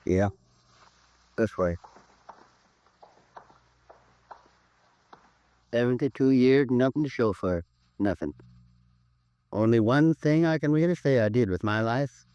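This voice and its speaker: A man's voice: medium pitch, normal speed, pauses often, dark tone, clear, resonant tone, no room sound, highs cut off, natural voice. An actor playing a remorseful American robber in his seventies, rambling wistfully in a 1950s radio drama. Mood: sorrowful, resigned. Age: 70s. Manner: rambling, wistful, clipped folksy sentences. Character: weary, remorseful 72-year-old robber confessing to police.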